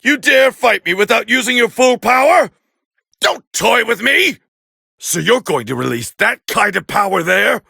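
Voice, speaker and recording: A man playing a proud, hot-tempered alien warrior prince with a raspy voice, calling fights with scorn in an anime video game dub. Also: high-pitched, lively intonation, a little slow, no echo, studio quality, heavily acted. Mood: shouting, incensed, then scornful, appraising. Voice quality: gravelly, raspy. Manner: haughty, scornful, biting, forceful. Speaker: fight commentator character.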